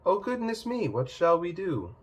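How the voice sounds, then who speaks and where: A man's voice: high-pitched, big pitch swings, normal speed, slightly rough, voice pushed up, heavily acted. A man voicing a polite, innocent, chatty little girl in a storybook read-aloud. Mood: bright, polite.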